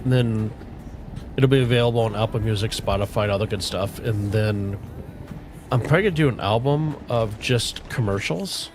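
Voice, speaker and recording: A middle-aged man chatting casually with viewers on a game livestream, relaxed and rambling, with a soothing voice. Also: on the low side, moderate pace. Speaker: streamer. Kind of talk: casual livestream chat while gaming. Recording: faint music playing underneath.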